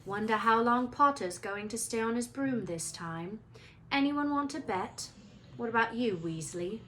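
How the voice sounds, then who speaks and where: A sneering schoolboy bully from a storybook, acted out by a woman. He drawls his taunts with smug, mocking relish. There is clear noise in the recording. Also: fairly high pitch, animated, unhurried, a bit bright. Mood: smug taunting.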